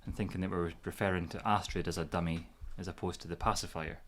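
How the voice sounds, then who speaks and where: A man's voice: low voice, fairly even intonation, quick pace. A Scottish man from Scotland, a guest in a casual chat, with a dry, teasing humor.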